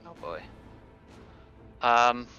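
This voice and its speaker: A man's voice: mid-range voice, sing-song, very slow, bright, forceful tone, highs cut off, faint music behind. A man chatting casually as a player at a tabletop game, tentatively thinking aloud.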